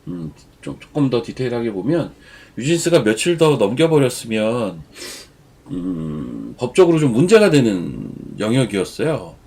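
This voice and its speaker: A man's voice: medium pitch, big pitch swings, moderate pace, gravelly, a little mellow, husky. A man with a cold giving chatty, rambling stock market commentary as the show's regular commentator. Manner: chatty, digresses into personal stories. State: has a cold. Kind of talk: casual market commentary on a live stream.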